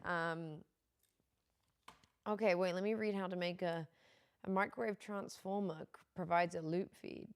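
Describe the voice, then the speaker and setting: A tired young woman streaming, chatting casually with her chat, her voice going hoarse. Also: lowish voice, steady tone, unhurried, long pauses. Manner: rambling, chatty, many fillers.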